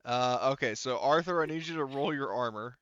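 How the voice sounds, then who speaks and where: Clear, bright-voiced man running a tabletop game. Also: mid-range voice, fairly quick, no echo, muffled recording. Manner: informal, profane, thinking aloud while working out rules. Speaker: game master. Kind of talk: casual tabletop roleplaying game narration.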